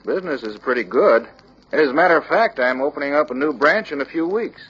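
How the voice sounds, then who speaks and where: A man's voice: on the high side, lively intonation, brisk, fairly bright tone, slightly rough. An actor playing a businessman and reluctant truck buyer in a scripted training film, conversational and explanatory. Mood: hesitant, upbeat. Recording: dull lo-fi old training film soundtrack.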